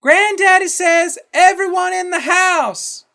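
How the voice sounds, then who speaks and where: A man voicing a young woman who shouts orders in a commanding way. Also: very high-pitched, slow, creaky, pitched above the performer's own voice, heavily acted. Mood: commanding, urgent.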